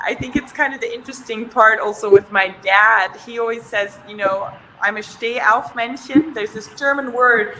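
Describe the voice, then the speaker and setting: A woman's voice: a little high, normal speed, pushed and bright, slight background noise, low-quality recording. A woman in her thirties who grew up in Switzerland, chatting on a video call as a guest, laughing often and restarting her sentences. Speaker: startup founder, guest. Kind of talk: casual interview on a video call. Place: home room, indoors. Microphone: video call webcam.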